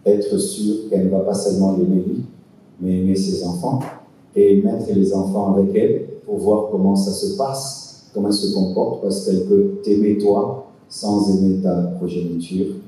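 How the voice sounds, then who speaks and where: A man gives earnest, sermon-like advice to couples, pausing for emphasis. His voice is low and very dark. He is heard from a distance in a strongly echoing hall, with a faint hiss behind him. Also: little pitch movement. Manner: earnest, preaching with emphatic pauses. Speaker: pastor answering couples' questions. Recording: echoey hall from far off, faint noise.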